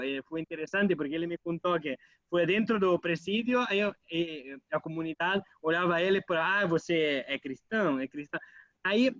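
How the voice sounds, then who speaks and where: A man's voice: fairly high pitch, a bit bright, dry recording, dull audio. A man from Italy, a guest on a radio interview who talks hesitantly with many fillers and restarts. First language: Italian.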